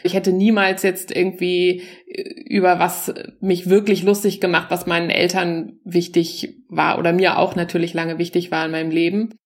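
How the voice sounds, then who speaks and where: A woman's voice: on the low side, little pitch movement, normal speed, few pauses. A woman in her forties, a stand-up comedian, talking loosely and casually about her work and mixing in English words. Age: 40s. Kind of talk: casual interview talk.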